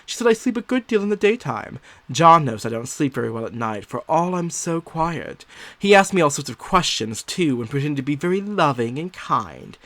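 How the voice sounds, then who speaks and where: A man's voice: a little high, sing-song, moderate pace, a bit bright, no echo. A man performing an unhinged, secretive married woman who is unravelling, in a theatrical, sly, gleeful gothic monologue. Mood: secretive, suspicious. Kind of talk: dramatic first-person gothic story narration.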